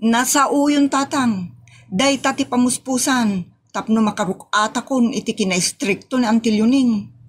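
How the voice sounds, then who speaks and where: A woman's voice: a little high, measured pace, breathy edge. A woman with an Ilocos accent from the northern Philippines playing an exasperated, pleading niece of thirty-one in a comedy audio drama. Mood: whiny, complaining. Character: exasperated 31-year-old single niece, pleading and whiny.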